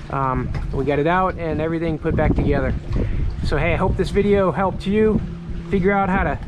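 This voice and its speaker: A man casually narrating a do-it-yourself jet ski repair, counting aloud as he works, recorded outdoors on a lake dock with an action camera. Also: on the high side. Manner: matter-of-fact, counting aloud while working. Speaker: DIY video host. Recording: noisy outdoor action-cam audio. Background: water and wind.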